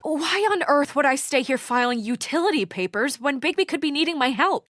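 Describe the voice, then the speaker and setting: An actress playing a brisk, guarded young female office assistant in a noir video game drama, speaking in a crisp, businesslike, dry manner. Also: high-pitched, expressive, a bit bright, dry recording. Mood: exasperated, defensive.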